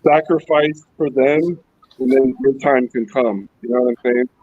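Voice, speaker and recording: A man's voice: medium pitch, fairly even intonation, normal speed, darkish tone, dry recording. A man in his fifties chatting easygoing on a livestream call from his phone. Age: 50s. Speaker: livestream guest. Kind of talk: casual chat. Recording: dry, dull phone audio.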